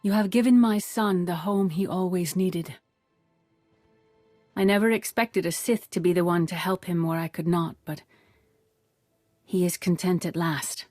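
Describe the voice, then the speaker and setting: A woman playing a wise older Jedi master and mother in a video game, speaking in a measured, formal way. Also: fairly low pitch, fairly even intonation, darkish tone, dry recording, soft background music. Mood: grave, sincere. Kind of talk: video game cutscene acting.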